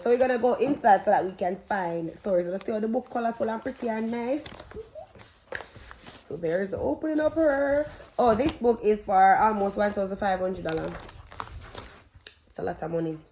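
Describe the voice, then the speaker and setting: A woman's voice: mid-range voice, normal speed, a little mellow, a little hiss or hum, rough recording. A woman with a Jamaican accent chatting bluntly and reading aloud to children like a parent vlogging at home, stopping to instruct and quiz them. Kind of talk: casual vlog chat and interactive read-aloud.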